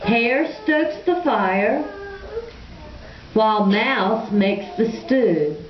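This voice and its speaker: A woman reading a children's puppet show story in a theatrical, deliberate manner. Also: fairly high pitch, lively intonation. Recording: echoey, muffled, crowd noise behind.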